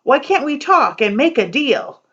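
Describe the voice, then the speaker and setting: A woman voicing a frightened little male pig in a read-aloud poem, pleading in a high voice. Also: very animated, measured pace, fairly bright tone, lo-fi sound, exaggerated voice.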